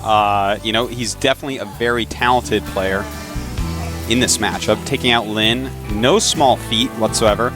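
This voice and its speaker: A man's voice: lowish voice, steady tone, normal speed, music in the background. A man with a New Hampshire accent giving live color commentary on an esports match, chatty and enthusiastic, breaking down the players. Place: packed event studio.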